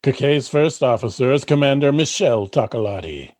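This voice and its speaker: A man's voice: mid-range voice, lively intonation, a little fast, a little mellow, no echo. A man narrating a comic sci-fi radio drama in a dry, announcer-like manner.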